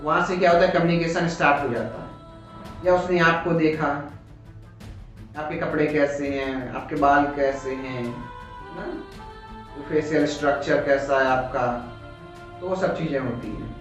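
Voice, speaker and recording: A man's voice: on the high side, fairly even intonation, unhurried, long pauses. Male teacher, Indian accent, motivational lecture, explanatory delivery, heavy room echo, background music. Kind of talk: motivational lecture on communication skills. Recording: very echoey room, music behind.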